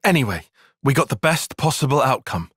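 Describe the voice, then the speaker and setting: An earnest young strategist for a video game cutscene. His phrasing is formal and exact, with animated pitch swings and a slightly husky edge. The recording is very dry, with no room around it. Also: fairly quick, dry recording. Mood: composed, analytical. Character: analytical, reserved young male military strategist, earnest and proper.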